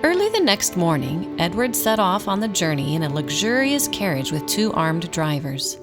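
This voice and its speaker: A woman's voice: on the low side, big pitch swings, moderate pace, music in the background. A woman reading scripted storybook narration as the narrator of a radio drama.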